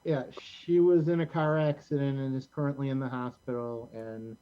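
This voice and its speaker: A middle-aged American man hosting a casual show in a rambling, halting way. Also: mid-range voice, fairly even intonation, warm tone, dull audio. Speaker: host of a community show.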